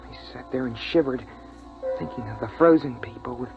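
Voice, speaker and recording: A male actor playing an anxious, imaginative young boy who narrates a 1950s radio drama in an earnest, hushed way. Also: medium pitch, fairly quick, creaky, close to an everyday voice. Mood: fearful, suspenseful. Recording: dull old radio recording with music.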